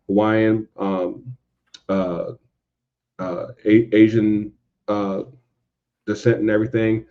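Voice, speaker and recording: A man in a casual podcast discussion, speaking haltingly and circling back over his points as a guest commentator. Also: on the low side. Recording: dull, lo-fi voice track.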